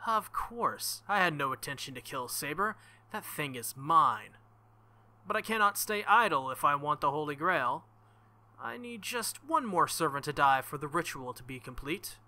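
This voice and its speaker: A man voicing an arrogant, cold male knight in a visual novel, haughty and deliberate. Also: a little high, animated, bright, forceful tone.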